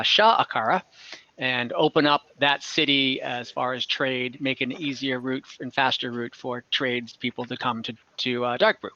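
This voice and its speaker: Bright-voiced man running a tabletop game. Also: mid-range voice, no echo. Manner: relaxed, chatty narration with frequent fillers. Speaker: dungeon master. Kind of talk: narrating an online tabletop game. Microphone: computer mic on a video call. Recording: muffled online call audio.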